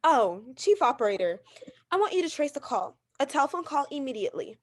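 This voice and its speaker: Woman acting a frantic neurotic rich wife in a radio thriller, high swoopy voice, bossy and worked up. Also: sing-song, a little fast. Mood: anxious, insistent. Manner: imperious, agitated, insistent. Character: neurotic, demanding invalid wife, frantic and haughty. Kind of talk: radio mystery drama acting.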